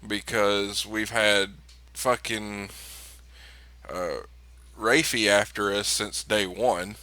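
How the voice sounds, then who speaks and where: A practical investigator on a starship crew played by a man in a tabletop game, folksy and deliberate. The voice is fairly low, with a bright, forceful edge. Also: fairly even intonation, no echo. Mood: firm, concerned. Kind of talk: improvised tabletop role-play.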